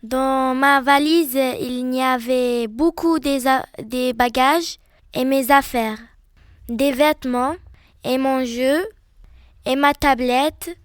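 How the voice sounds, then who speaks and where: A teenage girl from Algeria reading her own account aloud, slowly and carefully. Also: high-pitched, no echo, clean studio sound. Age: teens. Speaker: student reading her text. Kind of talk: read-aloud personal account.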